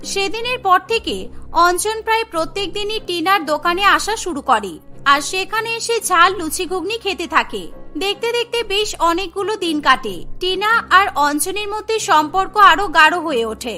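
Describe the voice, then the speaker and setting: A woman's voice: very high-pitched, pushed and bright. A woman reading scripted narration for a children's cartoon story, with music behind her.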